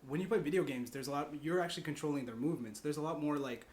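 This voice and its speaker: A man's voice: on the high side, rapid-fire, darkish tone. A man chatting casually as a podcast guest, explaining his ideas in a rambling, conversational way. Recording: clear background noise.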